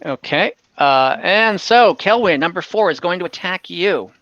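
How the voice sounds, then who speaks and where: Bright-voiced game master, slightly dull audio. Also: medium pitch, expressive, a little slow. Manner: informal, thinks out loud while tallying dice. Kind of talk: narrating a tabletop role-playing game. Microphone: online group call.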